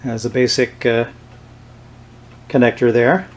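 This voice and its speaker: Man, mid-pitched voice, unscripted demo presenter, halting unhurried delivery. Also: fairly even intonation, slight background noise. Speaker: presenter showing vintage radio gear. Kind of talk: unscripted show-and-tell demonstration.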